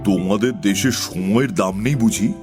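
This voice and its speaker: A man's voice: fairly low pitch, normal speed, close to an everyday voice. A man playing a fussy, argumentative, self-important crow in a children's audio story. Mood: haughty, argumentative. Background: music.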